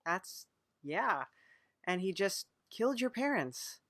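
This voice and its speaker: A woman hosting a casual improvised comedy interview, asking questions in a hesitant, deadpan way. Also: mid-range voice, takes it slowly, no echo, studio quality. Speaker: podcast host and interviewer.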